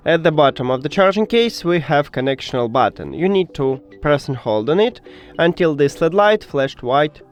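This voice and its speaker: A man with a moderately high voice walks through a tech tutorial one step at a time. He is a non-native English speaker who pauses and hesitates to find his words. Soft music plays behind him. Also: expressive. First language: not English.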